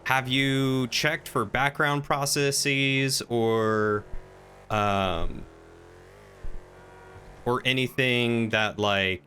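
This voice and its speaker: A man talking casually on a gaming livestream, chatty and playful, thinking out loud as he goes. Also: mid-range voice, fairly even intonation, drawn-out words, pauses often, a little hiss or hum.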